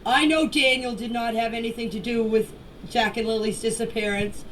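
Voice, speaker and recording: Woman, high voice, plainspoken, emphatic, repeats for stress, unscripted voice message, faint background noise. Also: fairly even intonation, moderate pace, a bit bright. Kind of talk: unscripted personal statement in voice messages. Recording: noisy voice message, distant from mic.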